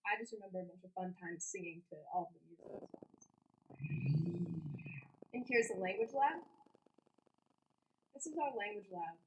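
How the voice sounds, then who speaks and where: Fast-talking teenage girl giving a tour. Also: mid-range voice, slight background noise, low-quality recording. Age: teens. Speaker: student tour guide. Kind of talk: scripted tour narration.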